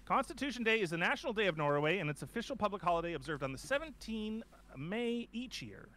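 A man's voice: high voice, big pitch swings, sharp tone. A man in his forties from Canada, co-hosting a casual podcast chat from a home art studio, joking and bantering. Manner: joking, playful, quick banter. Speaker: podcast co-host. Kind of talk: casual chat on a live stream.

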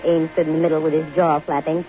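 An actress playing a dry, wisecracking, self-possessed young private detective in a 1950s radio drama. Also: female voice, low-pitched, level tone, fast, soft background music, lightly acted. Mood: cool narrating. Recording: hissy, muffled 1950 radio broadcast.

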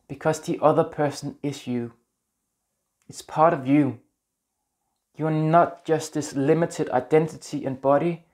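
A man in his thirties from Denmark with a Danish accent, talking earnestly to camera in a small room on a lapel mic, gentle and a little halting. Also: a little high. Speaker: spiritual vlogger. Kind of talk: casual talk to camera.

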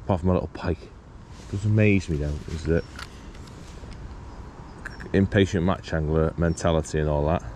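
A low-pitched British man talks casually outdoors, musing aloud like an angler presenting his own fishing video. His speech is colloquial and full of questions, picked up on an action camera with clear ambient and traffic noise around him. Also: fairly even intonation, moderate pace, long pauses, darkish tone. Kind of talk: casual chat to viewers while fishing. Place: outdoors beside a small pond. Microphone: body-worn action camera.

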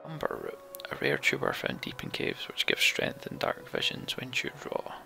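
A man's voice: a bass voice, wildly swooping pitch, moderate pace, gravelly, pushed and bright, faint music behind. A man doing let's play commentary on a game, reading text aloud and talking through choices.

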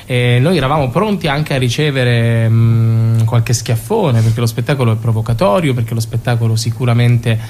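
A man giving a conversational radio interview, picking his phrases deliberately and with some hesitation. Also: a little mellow. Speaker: stage actor being interviewed. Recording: clear background noise with rumble.

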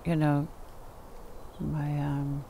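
A woman's voice: low voice, little pitch movement, very slow, creaky, warm tone, a little hiss or hum, rough recording. A woman in her sixties recalling her life in an unscripted, hesitant way, recorded outdoors in a backyard. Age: 60s. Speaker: documentary interviewee. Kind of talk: unscripted personal recollection.

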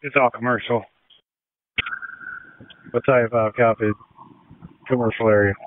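A man's voice: medium pitch, steady tone, unhurried, dry recording. A man, a fire officer, exchanging terse instructions and updates on a two-way radio. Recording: noisy, tinny two-way radio.

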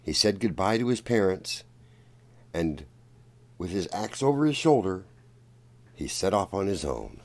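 A man reading a children's story aloud in a deliberate, expressive storytelling manner. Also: sits low. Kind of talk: read-aloud storybook narration.

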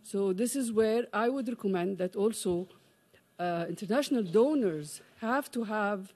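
A middle-aged woman from Egypt speaking from the floor at a conference, with many pauses, fillers and restarts. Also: mid-range voice, dark tone. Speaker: audience member, parent advocate. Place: large conference room. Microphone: desk microphone.

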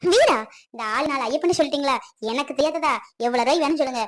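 A woman's voice: high-pitched, fast talker, natural voice. A woman voicing a boastful, chatty male local tour guide who brags about knowing every place in town. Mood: boastful, eager. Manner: boastful, eager salesman patter.

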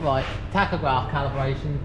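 A man's voice: medium pitch, normal speed. A man, a mechanic talking through a truck's faults in a practical, matter-of-fact way. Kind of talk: casual workplace chat. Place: truck yard and workshop. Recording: roomy, loud yard noise.

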